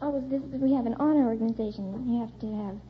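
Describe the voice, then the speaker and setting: A woman's voice: a little high, fast. A seventeen-year-old American young woman, a student contestant giving brief answers in an interview. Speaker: high school student contestant. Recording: muffled, faintly noisy vintage radio recording.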